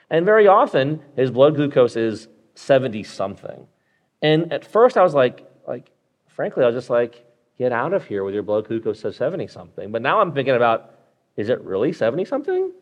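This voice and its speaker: A man's voice: mid-range voice, a little mellow, highs cut off. Man talking through clinical cases. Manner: conversational, lots of fillers and check-ins. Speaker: podcast host, clinician. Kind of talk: live podcast talk, teaching clinical cases.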